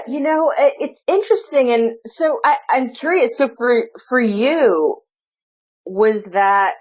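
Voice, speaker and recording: A woman law professor answering interview questions in a thoughtful, reflective way, filling her talk with hedges and restarts. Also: mid-range voice. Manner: thoughtful, full of "you know" fillers and restarts. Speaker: law professor and researcher, guest. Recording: dull lo-fi audio.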